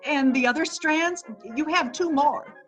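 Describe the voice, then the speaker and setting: A woman playing an outspoken woman friend in an amateur stage reading, exclaiming and animated. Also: high voice, measured pace, soft background music. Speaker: reader in a play reading. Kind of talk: amateur readers' theatre acting.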